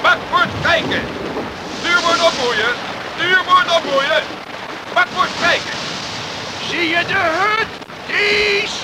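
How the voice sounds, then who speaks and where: A gruff, stubborn skipper of a rowing rescue boat in a radio drama. He pitches his voice very high and speaks slowly and bluntly. Clear noise sits behind him. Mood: urgent shouting. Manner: blunt, grumbling, barking commands when at sea. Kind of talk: Dutch radio drama acting.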